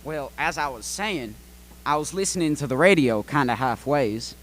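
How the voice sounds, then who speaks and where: An actor playing a slow, folksy male farmer who rambles hesitantly through a radio play interview. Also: mid-range voice, lively intonation, a bit bright, lightly acted. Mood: drowsy, rambling.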